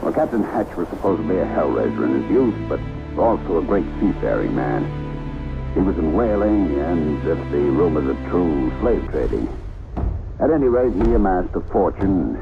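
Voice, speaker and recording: A man's voice: low voice, big pitch swings, moderate pace, vocal fry, highs cut off, music in the background, full character voice. An actor playing a nervous, harried businessman in a radio drama, agitated and emphatic. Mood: grave, explaining. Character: nervous, harried businessman fearful of a haunted house. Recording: noisy old radio broadcast recording.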